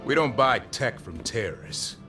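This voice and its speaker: A man's voice: medium pitch, lively intonation, normal speed. An actor voicing a blunt, sardonic male spy agency director with an authoritative manner in a video game. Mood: wry, gruff. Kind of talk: video game cutscene acting. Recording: loud game music behind the voice.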